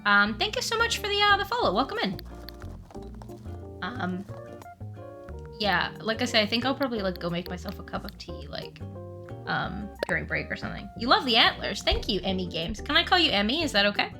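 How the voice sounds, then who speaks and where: A woman's voice: on the high side, very animated, a little fast. A young woman streaming from her room with a mild cold, chatting casually and scatterbrained while she plays and answers chat. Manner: rambling, scatterbrained, lots of ums and restarts; soft laughs, reads and answers chat. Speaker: livestreamer. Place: room at home with the window open. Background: game music.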